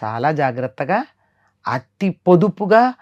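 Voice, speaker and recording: A woman's voice: deep voice, sing-song, slow, darkish tone, muffled recording. An older woman giving a moral discourse in conversation as a spiritual teacher, emphatic and repeating phrases to drive points home.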